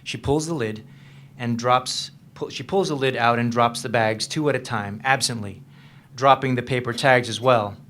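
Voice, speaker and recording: A man's voice: mid-range voice, moderate pace, a bit bright, a little hiss or hum, close to an everyday voice. An actor reading the part of a troubled, guarded man in a staged reading of a novel, with a hesitant, understated delivery. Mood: measured narration. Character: troubled, guarded man confiding in his girlfriend, haunted by past.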